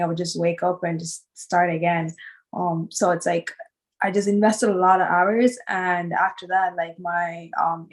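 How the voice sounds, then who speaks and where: A woman's voice: lowish voice, rough recording. A woman in her twenties from Sri Lanka, answering interview questions casually with many fillers. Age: 20s. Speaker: guest artist.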